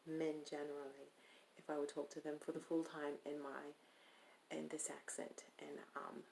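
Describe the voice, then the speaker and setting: A woman from California vlogging casually while speaking in a deliberately put-on accent, rambling and hesitant with many pauses. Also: deep voice, little pitch movement, creaky, darkish tone, slight background noise, poor audio. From Stockton, California. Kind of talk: casual video chat.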